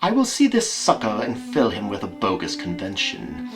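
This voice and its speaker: A man's voice: fairly low pitch, wildly swooping pitch, normal speed, music in the background. A man playing a scheming villainous colonel in an audio drama, conspiratorial, clipped and impatient. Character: scheming, tense villainous colonel, leader of crooks. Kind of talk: amateur audio drama acting.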